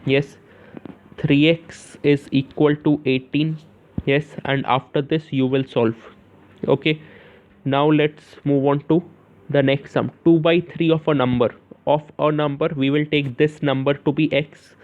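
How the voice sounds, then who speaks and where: A man's voice: fairly high pitch, little pitch movement, a little slow. A young man with an Indian accent gives an informal step-by-step maths lesson, asking questions and answering them himself, often repeating phrases and checking in with short confirmations. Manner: repeats phrases, punctuates with "yes" and "okay". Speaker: maths tutor. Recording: narrow, tinny phone-like sound.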